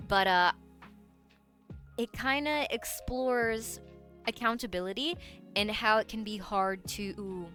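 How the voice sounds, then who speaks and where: Bright-voiced woman streamer, rambling. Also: mid-range voice. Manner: rambling, self-interrupting, restarts a lot. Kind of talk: casual livestream chat.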